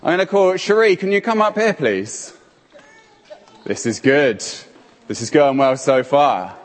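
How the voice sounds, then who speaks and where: A man's voice: on the high side, measured pace. A man preaching an interactive sermon on a handheld mic, playful and calling people up by name. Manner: playful, animated, calls on people. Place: church hall. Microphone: handheld microphone. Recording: hall reverb.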